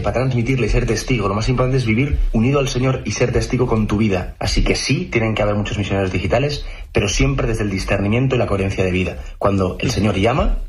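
A man with a low voice talking fast and fervently about his religious calling. A faint noise sits behind him. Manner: earnest, fervent. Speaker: religious content creator. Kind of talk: earnest testimony.

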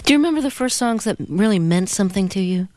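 A woman interviewer asking calm, measured questions. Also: mid-range voice, dry recording.

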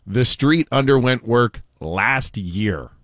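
A man's voice: mid-range voice, expressive, takes it slowly, a bit bright, no room sound, clean studio sound. A man co-hosting a radio show, chatting casually through news stories and laughing often. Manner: chatty, laughs often, reads quotes aloud.

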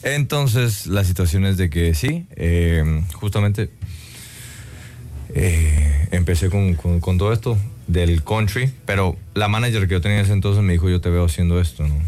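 A man's voice: sits low, moderate pace, darkish tone. A man from Guatemala, a singer chatting in an interview, thoughtful and full of "eh" fillers and tag questions. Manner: reflective, many "eh" fillers and tag "¿no?". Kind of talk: casual interview chat.

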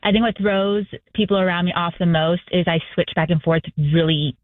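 A woman calling in to a radio show, chatting casually and quickly with light laughs. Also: lowish voice, slight background noise. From United States. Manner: talks fast, laughs lightly. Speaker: caller. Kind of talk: casual call-in chat. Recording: tinny phone line.

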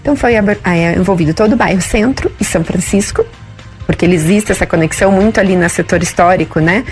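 A woman who coordinates a city program, giving a measured explanatory interview answer with fillers. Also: on the low side, soft background music.

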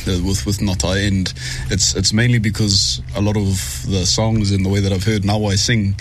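A man's voice: fairly low pitch, flat delivery, normal speed, slight background noise. A man with a New Zealand accent, a singer in a band, answering in a laid-back way. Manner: laid-back, says bro, many ums. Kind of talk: relaxed interview answers.

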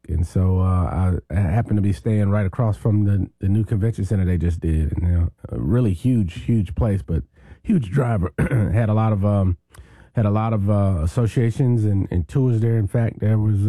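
A man's voice: on the low side, mellow, dry recording. A man who is a town mayor and a guest on a radio talk show, speaking in an easygoing, rambling way. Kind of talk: casual radio interview.

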